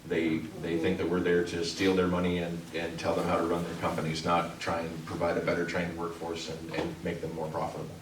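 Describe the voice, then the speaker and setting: Deep-voiced man, earnest and halting. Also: monotone. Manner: earnest, halting, many restarts. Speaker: union representative. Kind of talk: answering audience questions. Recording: echoey room, distant mic, noise.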